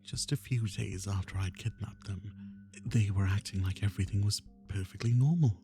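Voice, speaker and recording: Obsessive male kidnapper for dramatic ASMR, played by a man: tender yet menacing, low gravelly murmur sliding into whispers, confiding and anguished. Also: normal speed, over music, close to an everyday voice. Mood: eerie tenderness. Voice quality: gravelly, often breathy whisper. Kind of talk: roleplay ASMR monologue, intimate and dramatic.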